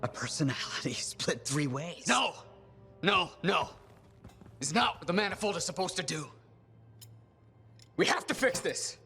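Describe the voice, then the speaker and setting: An actor playing an eccentric, excitable male inventor split into squabbling selves in a video game, muttering, stammering and bickering with himself. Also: on the high side, unhurried, creaky, pauses often, soft background music, full character voice. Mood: baffled panic. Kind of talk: cinematic video game acting.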